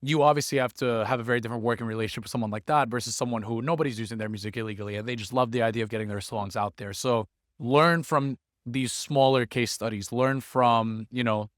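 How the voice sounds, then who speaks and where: A man's voice: mid-range voice, no echo. Founder-type man, earnest advice, dry close sound, mid voice. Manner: earnest, steady flow of advice, often quick. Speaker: music startup founder, guest. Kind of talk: interview answer giving advice. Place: conference venue.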